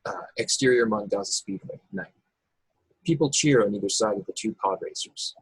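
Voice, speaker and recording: A man's voice: on the low side, lively intonation, normal speed, vocal fry, breathy edge, no echo, poor audio. A man narrating stage directions from a script at a table read over a video call. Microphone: video call, close and dry.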